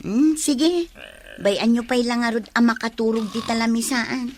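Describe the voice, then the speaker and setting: A woman's voice: fairly high pitch, normal speed, slight background noise, lightly acted. A woman playing a coaxing, scheming young bar waitress in a comedy radio drama, with a sing-song delivery. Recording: music playing behind the voice.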